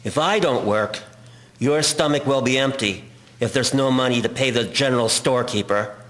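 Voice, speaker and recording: A man's voice: medium pitch, normal speed, fairly bright tone, close to an everyday voice. A man acting a stern, commanding, abusive husband in a historical audio drama. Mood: stern, menacing. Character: harsh, domineering, abusive husband in 1910s rural household.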